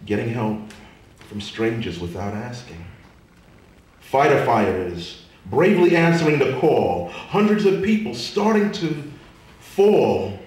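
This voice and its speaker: A man performing spoken word poetry with a theatrical, emphatic delivery that swells and drops to a hush. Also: medium pitch. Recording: echoey room from far off, faint noise.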